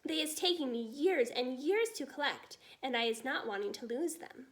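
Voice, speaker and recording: A woman's voice: high-pitched, lively intonation, normal speed. Lady reading as a friendly storybook giant, muddles his grammar, makes up silly words, voice swings up and down. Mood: earnest.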